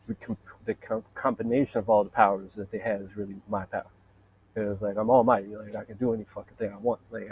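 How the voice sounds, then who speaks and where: A man with a deep voice in a rambling, profane, boastful solo monologue, wandering from point to point. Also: phone-quality sound, a little hiss or hum. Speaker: vlogger.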